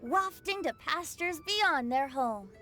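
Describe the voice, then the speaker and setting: A woman's voice: high voice, lively intonation, slow pace. A woman voicing a breezy, earnest boyish young bard in an anime video game, with a lilting, friendly announcer's manner. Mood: lyrical. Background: game music.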